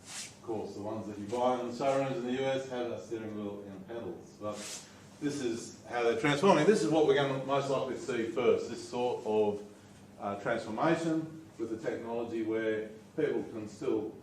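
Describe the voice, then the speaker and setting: A man's voice: medium pitch, normal speed, a little mellow, distant mic, a little hiss or hum. A man giving a talk to a live audience, speaking conversationally and putting questions to the crowd. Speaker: guest speaker. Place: lecture room before an audience. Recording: echoey room, off mic, faint ambience.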